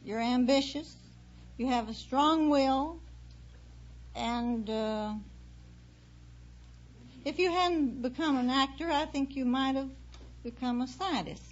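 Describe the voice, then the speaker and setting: High-voiced woman, muffled old radio. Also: takes it slowly, pauses often. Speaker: quiz contestant. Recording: muffled vintage radio with hiss.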